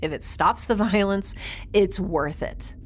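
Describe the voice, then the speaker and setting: A woman's voice: medium pitch, unhurried, slight background noise, close to an everyday voice. A woman playing a defiant, sarcastic young space station resident in an audio drama, with a flippant, casual delivery. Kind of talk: scripted audio drama acting.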